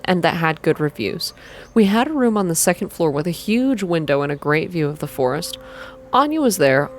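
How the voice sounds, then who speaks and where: A young adult reading a visual novel aloud in a soft ASMR style, close to the microphone, with informal asides between passages. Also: medium pitch. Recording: clear background noise.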